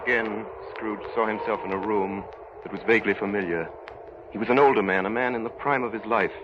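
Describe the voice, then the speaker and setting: Heavy noise and a dulled old radio sound surround a grave storyteller played by a man. His low voice narrates in a solemn, literary way. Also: a little fast, close to an everyday voice. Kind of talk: 1930s radio drama narration. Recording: very noisy, muffled 1930s radio recording.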